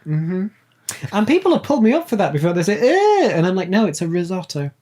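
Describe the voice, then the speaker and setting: A podcast guest in their late twenties talks in a casual, informal style. The voice has a middling pitch. The delivery is blunt and conversational. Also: big pitch swings, fast talker, warm tone. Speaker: podcast guest, audio drama writer and producer. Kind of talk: casual chat.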